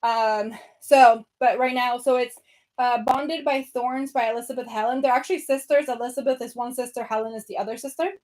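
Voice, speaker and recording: A woman's voice: fairly high pitch, fairly even intonation, fairly quick, a little mellow. Chatty young woman with a Venezuelan accent. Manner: chatty, rambling, lots of fillers. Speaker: book vlogger. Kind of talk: casual chat with live viewers. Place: home room by bookshelves.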